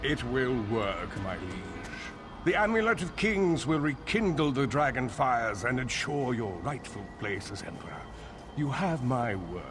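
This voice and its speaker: A man's voice: medium pitch, expressive, measured pace, lightly acted. A man playing a scheming, treacherous cult sorcerer in a fantasy game, with a theatrical, portentous delivery. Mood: smooth, deferential. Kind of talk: dramatic fantasy game voice acting. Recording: loud music under the voice.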